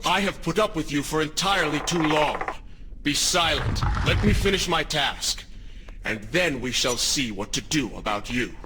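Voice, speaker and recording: An actor playing a proud, regretful young prince, speaking formally and earnestly in a dramatic video game. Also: male voice, fairly high pitch, animated, normal speed, natural voice. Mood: indignant. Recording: noisy game capture with sound effects.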